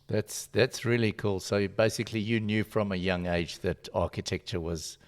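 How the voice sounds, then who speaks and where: A man's voice: fairly low pitch, steady tone, normal speed, no room sound. A man hosting a podcast, reading a scripted introduction and asking upbeat, encouraging questions. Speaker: podcast host.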